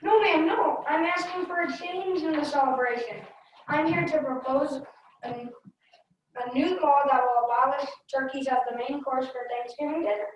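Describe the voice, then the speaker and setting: Very echoey high young female voice reading. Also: fairly even intonation, moderate pace, muffled recording. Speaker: readers theater performer. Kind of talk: scripted play reading. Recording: echoey room, distant mic, hiss.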